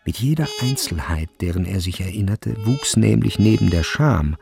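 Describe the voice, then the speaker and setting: A man narrating a literary radio drama in a measured, sober manner. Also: on the low side, animated, vocal fry, over music.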